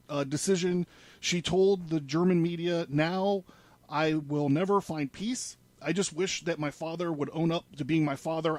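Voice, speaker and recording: A man's voice: on the high side, a little mellow, no echo. A man presenting a podcast in a studio, reading news articles aloud with informal asides. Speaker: podcast presenter laying out a case.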